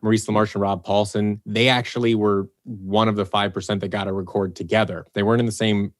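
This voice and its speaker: A low-voiced man talks in a relaxed, easygoing way on a podcast. His voice is recorded close and dry, with no room sound. He tells behind-the-scenes stories at an even clip. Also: fairly quick, dry recording. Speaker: producer and voice actor guest. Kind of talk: casual podcast chat.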